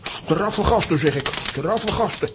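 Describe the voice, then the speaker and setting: A man's voice: sits high, lively intonation, slow pace, noisy background. A man playing a blustering, boastful and slightly dim cartoon fellow in a children's audio drama. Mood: impressed.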